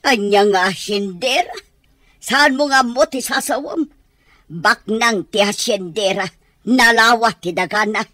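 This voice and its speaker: A woman's voice: on the high side, unhurried, bright, forceful tone, dry recording, clean studio sound. An actress playing a blunt, contrary woman neighbor in an Ilocano radio comedy drama. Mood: testy.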